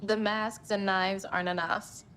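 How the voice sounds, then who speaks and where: A woman's voice: medium pitch, level tone, unhurried, fairly bright tone, slight background noise, close to an everyday voice. A woman playing a brash true-crime writer in a video game, sardonic and defensive in her delivery. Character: brash, wry female true-crime writer from New York. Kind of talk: naturalistic video game acting.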